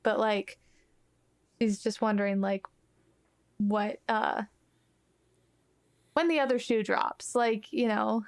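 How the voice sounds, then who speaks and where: A woman's voice: medium pitch, steady tone, takes it slowly, pauses often, smooth. A woman playing in a tabletop roleplaying game, chatting casually about her character and her choices.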